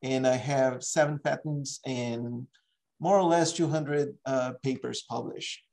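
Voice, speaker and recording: A man in his fifties from Brazil with a Brazilian Portuguese accent, talking informally and a little haltingly about himself on a video call. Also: medium pitch, fairly even intonation, gravelly, darkish tone. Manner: halting non-native English. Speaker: endodontist and professor, guest. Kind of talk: informal self-introduction. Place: indoor office.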